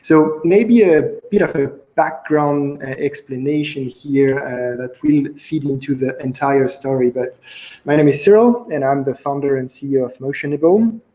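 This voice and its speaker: A young man with a French accent giving an online conference talk over a video call, relaxed and chatty with frequent fillers. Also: mid-range voice, normal speed, highs cut off. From France. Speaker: conference speaker, company founder. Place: indoor room, on video call.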